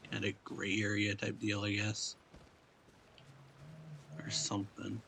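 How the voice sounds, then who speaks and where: Slow, creaky-voiced laid-back male streamer. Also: completely flat delivery, bright, forceful tone, a little hiss or hum. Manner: low-key, hesitant, trailing off. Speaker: game streamer reacting to cutscenes. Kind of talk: casual, off-the-cuff commentary.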